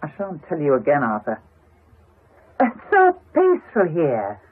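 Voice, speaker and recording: An actress playing a gushing holidaymaker wife who can turn snappish, in a radio drama. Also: female voice, deep voice, huge pitch swings, unhurried, breathy edge, heavily acted. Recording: narrow-band old radio broadcast.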